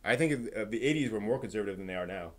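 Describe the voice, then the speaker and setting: A man hosting a casual live stream, thinking aloud in a halting, rephrasing way while giving emphatic opinions. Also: lowish voice, expressive. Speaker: livestream host. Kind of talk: casual live-stream chat, answering viewers' comments.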